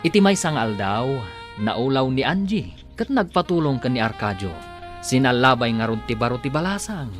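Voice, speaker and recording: A man's voice: medium pitch, big pitch swings, moderate pace, faint music behind. A man with an Ilocano accent delivering scripted, dramatic narration as a radio drama announcer.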